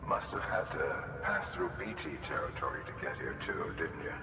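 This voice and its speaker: A man's voice: very deep voice, moderate pace. A man playing a friendly, admiring outpost worker in a video game, talking conversationally. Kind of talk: video game cutscene dialogue. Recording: echoey hall, distant, noisy background.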